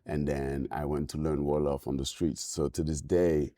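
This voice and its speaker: Interview guest: very low, mellow male voice, Senegalese French accent, thoughtful and halting, dry close sound. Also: level tone, no echo. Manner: thoughtful, halting with many ums and restarts. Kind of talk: reflective interview talk.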